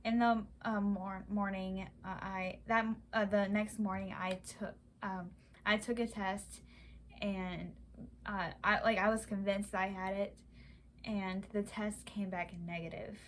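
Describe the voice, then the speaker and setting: A young woman vlogger telling a personal story in a halting way, with many fillers and restarts. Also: mid-range voice, steady tone, a little hiss or hum. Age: young adult. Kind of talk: casual personal account.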